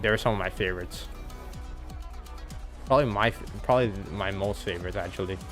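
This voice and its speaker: A man chatting casually as he reacts to game music, excitable and thinking aloud as he ranks tracks. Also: lowish voice, fairly quick. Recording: music playing in background.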